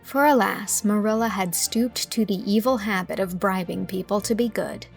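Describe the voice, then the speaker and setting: A woman narrating a literary audiobook, telling the story in a measured, expressive way from a script. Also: mid-range voice, vocal fry, soft background music.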